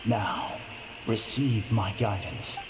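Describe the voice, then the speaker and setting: Lowish-voiced solemn spiritual guru. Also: male voice, fairly even intonation, slow pace, music in the background. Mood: solemn, commanding. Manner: portentous, formal. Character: solemn male spiritual guru, calmly all-knowing. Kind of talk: anime game voice acting. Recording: clear background noise and ambience.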